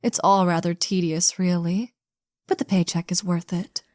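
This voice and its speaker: A woman's voice: fairly low pitch, fairly even intonation, normal speed, darkish tone, no room sound, studio quality, close to an everyday voice. A woman playing a snobbish, sarcastic movie star with a condescending, theatrical drawl in an audio roleplay. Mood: smug. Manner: condescending, theatrical drawl, calls others darling. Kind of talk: scripted audio roleplay acting.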